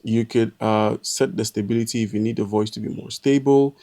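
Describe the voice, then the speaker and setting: A man walks through a piece of software step by step, narrating over his screen. His voice sits on the low side with a gravelly, fry-laden edge, and he pauses now and then with a drawn-out "uh". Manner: relaxed, step-by-step explaining with frequent "uh" pauses. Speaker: tutorial presenter. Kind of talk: screen-recorded software walkthrough.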